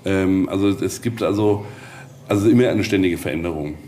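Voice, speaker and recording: A man with a low, mellow voice talks as a tour guide. He explains informally, step by step, pausing as he goes. There is faint location noise around him. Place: on location in an old castle tower.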